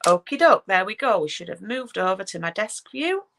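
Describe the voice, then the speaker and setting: A woman's voice: medium pitch, big pitch swings, moderate pace, vocal fry, fairly bright tone. A woman with a broad Yorkshire accent, hosting a casual live craft stream and greeting viewers by name as she chats. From Yorkshire, England. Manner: chatty, greets viewers by name, frequent ums and restarts. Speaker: card-making demonstrator hosting a live stream.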